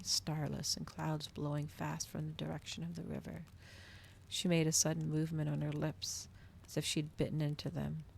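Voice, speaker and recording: A woman giving an ASMR reading of a story in a soft, hushed, close delivery. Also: sits low, creaky, breathy edge. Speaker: streamer hosting a live reading.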